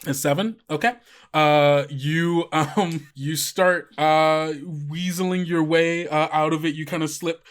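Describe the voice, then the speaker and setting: A man's voice: a little high, fairly even intonation, a little slow, smooth. A man running a tabletop game as game master, narrating loosely with many fillers and restarts.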